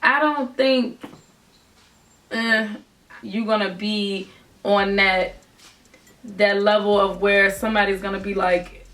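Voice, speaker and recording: A young woman rapper with a naturally raspy voice, giving casual, earnest advice to her viewers. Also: mid-range voice, fairly even intonation, slow pace, long pauses, a bit bright. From Virginia, United States. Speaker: rapper and vlogger. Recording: voice from a distance with faint music.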